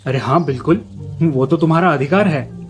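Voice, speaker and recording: A man's voice: a little high, moderate pace, soft background music, natural voice. A man voicing an earnest, determined young man in love in a cartoon story. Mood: reassuring.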